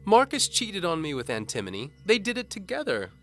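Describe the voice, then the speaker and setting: Man voicing a defiant young woman, raised pitch. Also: huge pitch swings, a little fast, a bit bright, soft background music, heavily acted. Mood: protesting. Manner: protesting, insistent. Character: defiant, desperate young woman in chains, pleading her case. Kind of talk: audio drama character acting.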